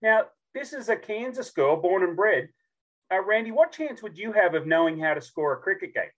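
An Australian man in his fifties telling stories casually as a podcast guest, jokey and animated as he acts out bits of dialogue. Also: sits high, normal speed, lo-fi sound. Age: 50s. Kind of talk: casual storytelling.

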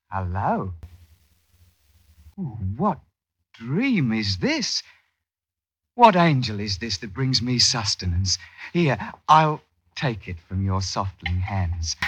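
An actor playing a smooth-talking young thief and ladies' man, wheedling in flowery period speech in an old radio drama. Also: on the low side, a bit bright, no room sound, dull audio, exaggerated voice.

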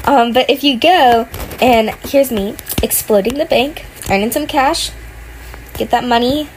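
A woman's voice: fairly high pitch, slow pace, long pauses, slight background noise, low-quality recording. A young woman who is sick narrates gameplay from her bed at home in a casual, rambling way full of fillers. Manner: rambling, many fillers and restarts. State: sick, voice sounding off. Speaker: gaming vlogger.

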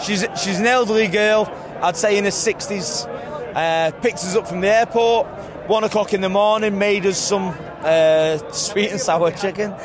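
A man with a Warrington accent, a football fan answering a street interview in a halting way, with many restarts. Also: sits high. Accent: English, Warrington. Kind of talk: street vox pop interview. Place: busy city street among fans. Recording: loud crowd behind.

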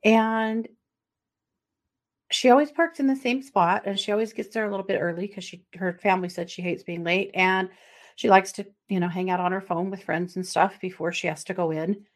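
A woman's voice: medium pitch, steady tone, moderate pace. A middle-aged woman co-hosting a podcast, chatting casually and then recounting a true crime case with plenty of fillers and asides. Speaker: podcast co-host.

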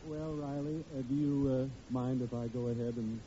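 A man working as announcer and beer pitchman in the style of 1950s radio sponsor announcing. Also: fairly even intonation, moderate pace, mellow. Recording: muffled, noisy vintage radio recording.

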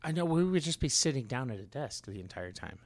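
A man's voice: mid-range voice, sing-song, fast, no room sound, clean studio sound. A man co-hosting a podcast in casual, easygoing chat with a joking manner. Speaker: podcast co-host and guitarist.

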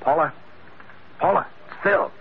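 An actor playing a tough, suspicious sea captain who investigates and narrates in a terse, hard-boiled radio detective style. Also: male voice, measured pace, close to an everyday voice. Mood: accusing. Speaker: radio drama lead and narrator. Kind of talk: 1940s radio detective acting, first-person narration. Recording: tinny old radio recording.

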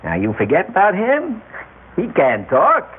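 An actor in a classic radio drama playing a smug, falsely genial, patronizing crime syndicate boss. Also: male voice, sits high, wildly swooping pitch, a little slow, slightly rough, heavily acted. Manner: patronizing, mock-friendly. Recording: tinny, noisy old radio broadcast.

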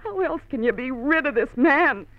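An actress playing a gentle, worried and devoted wife in an old-time radio drama. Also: female voice, high voice, animated, moderate pace. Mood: pleading. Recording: thin phone-like old radio recording.

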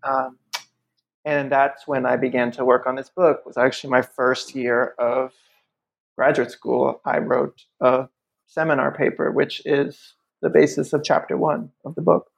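A history professor from upstate New York, talking in a relaxed podcast interview, thoughtful and full of ums and restarts. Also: male voice, medium pitch, unhurried, creaky. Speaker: guest author. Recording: muffled lo-fi audio.

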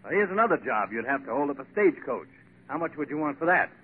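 High-voiced patronizing Hollywood agent, old radio. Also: male voice, sing-song, fast talker, breathy edge. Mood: businesslike. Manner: polished, insistent, instructing. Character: brisk, patronizing Hollywood talent agent, a man. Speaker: actor. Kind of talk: 1940s radio comedy acting. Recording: tinny, hissy old 1940s radio broadcast.

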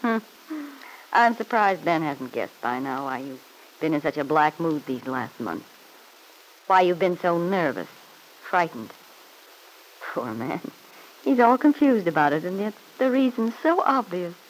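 A woman's voice: on the low side, animated, a little slow, slight background noise, low-quality recording. Sly, swooping radio-soap schemer woman. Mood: knowing, taunting. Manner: mock-sweet, taunting, arch, with knowing chuckles. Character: sly, smug, needling married woman, stepmother with secrets. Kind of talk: old-time radio soap opera acting.

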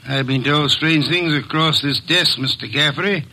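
An actor playing a ponderous, fussy genius detective in a 1940s radio drama, speaking formally and precisely with a gravelly voice. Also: male voice, on the high side, measured pace, bright, forceful tone, heavily acted, poor audio. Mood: formal, wary. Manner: pompous, formal, precise diction.

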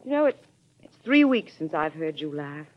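An actress playing a loyal, caring secretary in a vintage radio drama, polite, composed and sympathetic. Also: female voice, deep voice, very animated, normal speed. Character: loyal, caring, efficient woman secretary to a president. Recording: muffled vintage radio recording.

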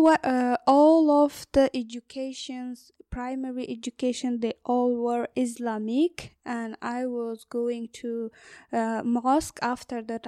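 A young woman from Afghanistan, an Uzbek speaker, talking as an interview guest in a halting way with many fillers and restarts. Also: on the high side, a little mellow, no room sound, clean studio sound. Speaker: guest, activist. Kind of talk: interview conversation.

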